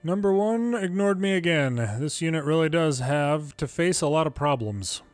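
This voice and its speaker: A man reading a game script aloud in an expressive, deliberate and dramatic way. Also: fairly high pitch, no room sound, faint music behind. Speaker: longplay video maker reading game dialogue.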